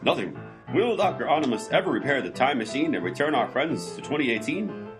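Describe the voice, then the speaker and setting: An actor playing a mock-dramatic cliffhanger announcer for a radio serial, delivering theatrical rhetorical questions. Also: male voice, medium pitch, big pitch swings, a little fast, lightly acted. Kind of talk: old-time radio announcing. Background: plucked string music.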